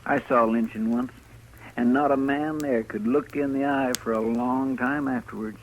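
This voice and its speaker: An actor playing an earnest, deferential marshal's sidekick with a homespun, rambling manner, 1950s radio style. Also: male voice, medium pitch, fairly even intonation, moderate pace, natural voice. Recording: faintly noisy old radio recording.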